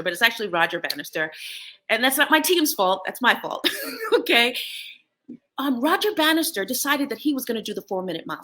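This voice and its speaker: A middle-aged American woman hosting a motivational talk, animated and laughing often as she speaks. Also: sits high. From United States. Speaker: host.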